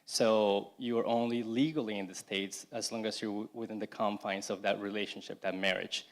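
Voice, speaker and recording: Halting man giving a church testimony. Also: on the low side, fairly even intonation. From Colombia. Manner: halting, many restarts and "you know". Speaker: congregation member giving testimony. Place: church service.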